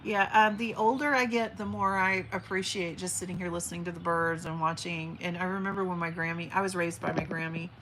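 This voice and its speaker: A woman's voice: lowish voice, flat delivery, brisk, hardly any pauses, a bit bright, slight background noise, low-quality recording. A woman in her forties hosting a casual livestream, reading viewer comments in a chatty, affectionate way with a big teacher voice. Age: 40s. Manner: chatty and affectionate, frequent fillers and restarts. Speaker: livestream host. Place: at home by an open window, birds outside.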